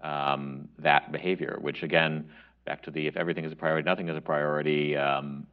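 Very deep-voiced halting male panelist. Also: level tone, clean tone. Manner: halting, filler-heavy. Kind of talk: panel discussion. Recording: dull lo-fi audio.

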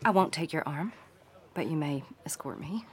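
Proud Southern young wife, expressively pitched. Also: female voice, animated, moderate pace, a little hiss or hum. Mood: tart, guarded. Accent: American South. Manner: sharp-tongued, guarded. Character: guarded, proud young married Southern woman in 1930s. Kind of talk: old-time radio drama acting.

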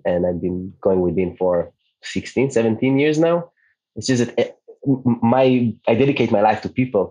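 A man's voice: lowish voice, slightly rough, muffled recording. A man in his late thirties from Israel, a native Hebrew speaker, chatting casually as an interview guest with frequent restarts. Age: 30s. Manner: relaxed, restarts often, light laughs. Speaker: podcast guest, digital nomad.

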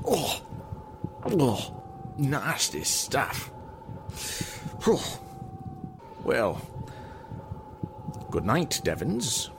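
A man acting a nervous, sleepless gentleman in a melodramatic radio drama, agitated and talking to himself in gasps and whispers. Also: mid-range voice, wildly swooping pitch, drawn-out words, gravelly, pauses often, background noise, full character voice. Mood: weary, nervous. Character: nervous, sleepless wealthy man terrorized by a bat.

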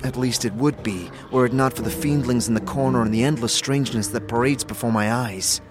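A man acts as a proud warrior from another world, stiff and easily offended. His speech is haughty, formal and archaic, mid-pitched, with music behind it in an audio drama mix. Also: brisk, lightly acted. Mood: guarded, haughty. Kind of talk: fantasy audio drama acting.

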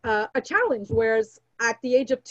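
Female podcast guest, Portuguese mother tongue, high pitch, candid conversational delivery, slightly muffled mic. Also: expressive, moderate pace, fairly bright tone. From Canada. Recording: roomy, slightly dull remote microphone.

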